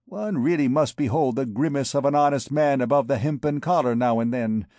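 A man's voice: medium pitch, brisk, a little mellow, no echo, close to an everyday voice. A man voicing a grandiose, menacing male beggar king who proclaims his titles with scorn. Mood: mocking.

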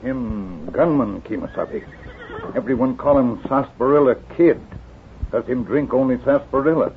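A tinny, slightly noisy old radio western recording. A man plays a loyal, earnest sidekick with a middling male pitch, talking plainly in halting, clipped broken phrases. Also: lightly acted. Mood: reporting, earnest. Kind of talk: 1950s radio western acting.

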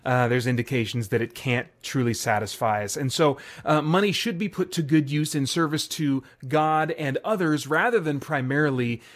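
A man's voice: mid-range voice, normal speed, few pauses. A man hosting a solo podcast, talking casually and informally straight to his listeners. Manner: informal, addresses listeners directly. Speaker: podcast host.

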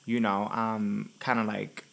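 A woman's voice: very deep voice, creaky. Laid-back woman from the Dominican Republic. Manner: relaxed, drawn-out, filler-heavy storytelling. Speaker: guest. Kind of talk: casual interview chat.

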